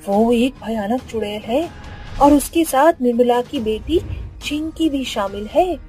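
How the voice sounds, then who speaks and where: A woman's voice: fairly high pitch, a little slow, dark tone, soft background music, lightly acted. A woman voicing a frightened, gossipy village woman in a cartoon, reporting in an urgent, fearful way.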